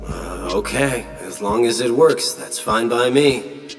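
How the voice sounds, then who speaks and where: An actor playing a young covert infiltration soldier, reporting in a terse, wry and alert way for a video game. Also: male voice, medium pitch, slow pace, over music, close to an everyday voice.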